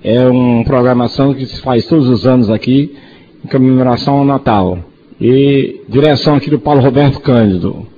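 A man's voice: medium pitch, moderate pace, warm tone. A 66-year-old Brazilian man presenting a community radio talk show. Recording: dull lo-fi audio, faint noise.

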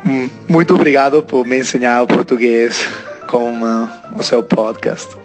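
A man's voice: mid-range voice, unhurried, soft background music, low-quality recording. A man in his twenties from Italy, an Italian speaker talking in Portuguese with an Italian accent, sending a listener message with hesitant phrasing and frequent fillers. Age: 20s.

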